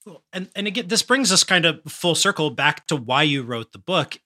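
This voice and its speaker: A man's voice: a little high, expressive, moderate pace, bright, forceful tone, no room sound, studio quality. A man hosting an interview podcast, talking conversationally in an emphatic, articulate, explanatory manner. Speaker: podcast host.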